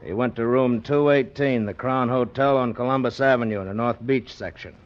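An actor playing a gruff, pushy police detective with a curt, hard-boiled manner in a 1940s radio drama. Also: male voice, medium pitch, moderate pace, natural voice. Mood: stern, pressing. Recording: muffled, slightly noisy 1940s radio recording.

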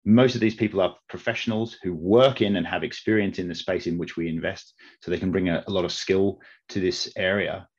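Deep-voiced man with a faint Australian accent. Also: steady tone, slightly rough. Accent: Australian, softened by long UK residence. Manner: measured, with frequent restarts and fillers. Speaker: investment network CEO, guest. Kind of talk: podcast interview answers. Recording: slightly dull lo-fi audio.

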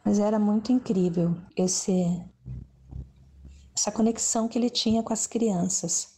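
A middle-aged woman speaks in a warm, reminiscing way, her tone dark and mellow. She often adds little "né" tags as she recalls things. It sounds like a recorded interview clip. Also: mid-range voice, a little slow, breathy edge. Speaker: former stage assistant and singer.

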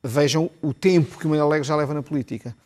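A man, arguing as a commentator in a televised panel debate, talking quickly with many restarts and repeated words. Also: a little high, a little mellow. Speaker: political commentator.

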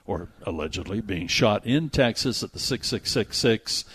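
Male movie-show host, lower register, conversational delivery of entertainment news with off-the-cuff asides. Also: animated, normal speed, fairly bright tone, slight background noise. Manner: conversational, reads news items aloud with asides. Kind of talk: casual movie and TV news chat.

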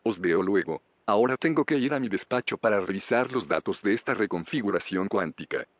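Proud scientist guy, lowish voice, exact and explain-y, sci-fi audio drama, dry sound. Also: expressive, brisk, no room sound. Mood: guarded. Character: precise, proud male inventor hiding resentment. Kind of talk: naturalistic audio drama dialogue.

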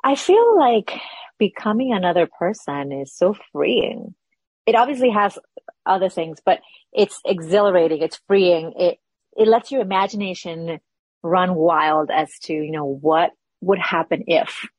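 A woman in her forties from Peru talks as an interview guest, pausing and restarting as she searches for the right words. Her voice is low and slightly breathy. The sound is dry and close. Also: animated, darkish tone, no room sound. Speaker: actress, guest. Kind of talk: casual interview chat.

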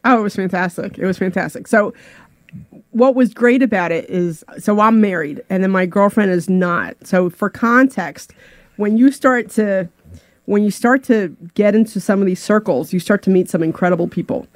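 A man's voice: sits high, normal speed, no echo. A man co-hosting a talk radio show, chatting with gushing enthusiasm. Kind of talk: casual talk radio banter. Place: radio studio.

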